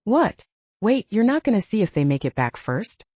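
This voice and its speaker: An outspoken male soldier in a werewolf romance audiobook, acted out by a female narrator. The voice argues and pleads with animated pitch and a mellow edge, recorded a little muffled. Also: moderate pace, husky, no echo. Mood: protesting. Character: outspoken, protesting young male werewolf soldier, defends his friends.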